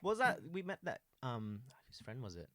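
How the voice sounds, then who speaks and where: A man's voice: mid-range voice, wildly swooping pitch, normal speed, fairly bright tone, no echo. Playful teasing man, dry sound. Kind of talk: casual chat among friends.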